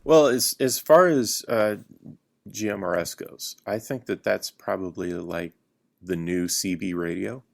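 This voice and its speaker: Podcast guest guy, low voice w vocal fry, halting, pauses a lot, just chatting. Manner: halting, pausing often mid-sentence. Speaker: podcast guest, radio hobbyist. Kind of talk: unscripted casual chat.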